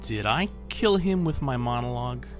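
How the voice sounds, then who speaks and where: A man's voice: medium pitch, lively intonation, normal speed, over music, lightly acted. A man playing a weary, sardonic employee given to griping in a comedic audio drama. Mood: exasperated.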